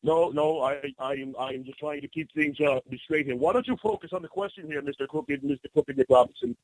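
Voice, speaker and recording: A man's voice: a little high, a little fast, vocal fry, no echo, poor audio. A man putting on a pompous campaign staffer. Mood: flustered. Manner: pompous, stumbling over names, yelling off-mic. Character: blustering male campaign staffer, pompous and flustered. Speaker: prank caller.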